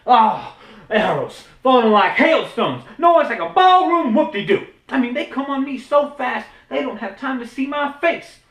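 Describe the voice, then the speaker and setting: A man's voice: extremely high pitch, very animated, measured pace, fairly bright tone, far from the mic, voice pushed up, full character voice. A man acting a swaggering, boastful frontier showman who tells tall tales in a theatrical, grandiose stage monologue. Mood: boastful, excited. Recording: roomy, echoing indoor recording.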